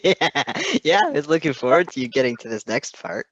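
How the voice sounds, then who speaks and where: A woman's voice: sits low, huge pitch swings, brisk, gravelly, no room sound, lo-fi sound. A woman chatting casually, with laid-back, amused, brief replies. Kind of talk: casual chat, listening and reacting.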